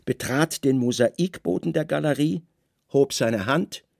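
A man narrating a radio drama in an expressive, theatrical literary storytelling style. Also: mid-range voice, measured pace, vocal fry, dry recording, clean studio sound.

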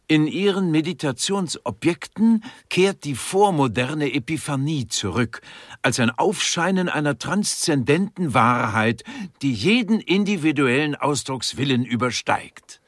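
A pompous male art critic in a radio crime satire, lecturing indignantly in lofty, learned words. His husky voice moves slowly with lively swoops, recorded close and dry. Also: mid-range voice, dry recording. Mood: grandly lecturing. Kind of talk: grotesque radio crime play.

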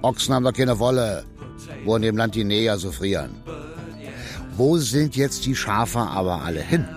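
A know-it-all working-class barfly in a radio play, low-voiced and emphatic. He explains things, with music behind him. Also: animated, normal speed. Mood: boastful, instructive. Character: pub storyteller, know-it-all working-class Berlin man. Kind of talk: radio drama dialogue.

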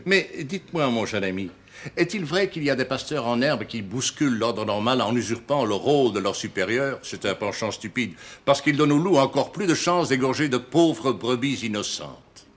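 An older man playing a pompous, self-satisfied parish priest in a television drama, speaking in ornate, deliberate phrases. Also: medium pitch, lively intonation, close to an everyday voice. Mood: haughty, needling.

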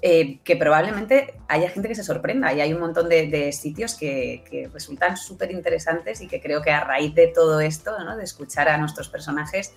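Low-pitched Spanish woman explaining in an interview. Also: moderate pace, gravelly, hardly any pauses, soft background music. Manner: explanatory, seeks agreement with tag questions. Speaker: interview guest presenting an audio drama. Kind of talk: conversational interview.

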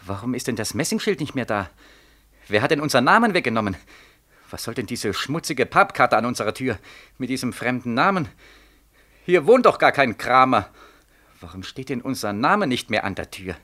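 Plaintive homecoming soldier, bright tense voice. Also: male voice, mid-range voice, big pitch swings, moderate pace. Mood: bewildered, agitated. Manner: insistent, repetitive questioning. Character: homecoming soldier back from Siberia, bewildered and desperate. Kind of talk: 1940s German radio drama acting.

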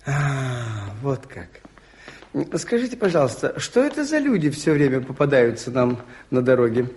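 An actor playing a mild, shrewd priest detective, hesitant and quietly inquisitive, in a 1980s Soviet radio play. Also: male voice, mid-range voice, big pitch swings, moderate pace, close to an everyday voice. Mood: curious. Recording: hissy old radio drama recording.

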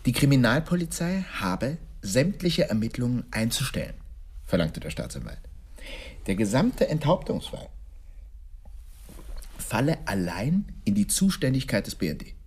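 An actor playing a dry, brisk male investigator in a crime radio drama, speaking in formal, precise phrases. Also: medium pitch, big pitch swings, darkish tone, slightly rough. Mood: precise, explaining. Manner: formal, precise, pedantic wording. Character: male doctor working police case privately, dry, brisk, precise.

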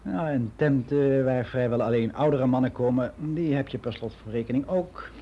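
Mellow-voiced, candid older man. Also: medium pitch, slightly rough. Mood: candid, confiding. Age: 60s. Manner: frank, reflective, halting with self-corrections. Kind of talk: confessional monologue in a radio play.

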